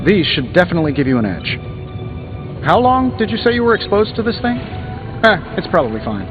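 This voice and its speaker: An actor voicing a wisecracking genius inventor superhero in a video game, glib and sarcastic. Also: male voice, sits high, big pitch swings, fairly quick. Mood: breezy, helpful. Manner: glib, sarcastic, breezy banter. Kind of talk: video game dialogue acting. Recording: dull game audio, long reverb, music.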